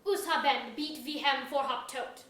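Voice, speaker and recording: A woman's voice: high-pitched, a little slow, a bit bright. A woman playing a secretive, plotting elf in a staged reading of a fantasy play, declaiming in an invented tongue. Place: museum auditorium staged reading. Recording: echoey auditorium from far off.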